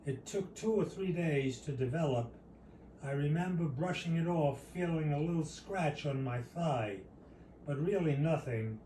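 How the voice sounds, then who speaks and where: A man reading his own short story aloud as its author, in a measured, deliberate storytelling manner. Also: a little high, dark tone. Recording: roomy, distant mic, faint noise.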